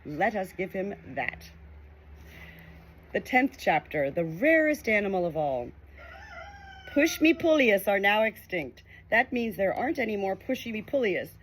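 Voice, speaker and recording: A woman reading a children's audiobook aloud in an expressive, informal way. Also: medium pitch, moderate pace, husky, rough recording. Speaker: audiobook reader. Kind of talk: children's storybook narration.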